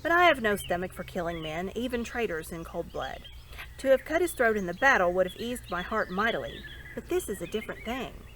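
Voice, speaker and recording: A woman's voice: medium pitch, animated, a bit bright, a little hiss or hum, close to an everyday voice. A woman voicing a dignified, hearty king in a storybook, speaking in a formal, courteous and firm way. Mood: resolute. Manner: stately, formal, measured proclamation.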